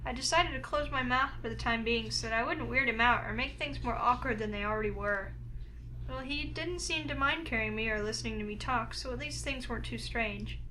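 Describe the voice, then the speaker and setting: A woman's voice: on the high side, fairly quick, slight background noise, poor audio. A woman voicing a flustered, wary young heroine who narrates a visual novel game in the first person. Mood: wary, pensive. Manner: expressive, flustered.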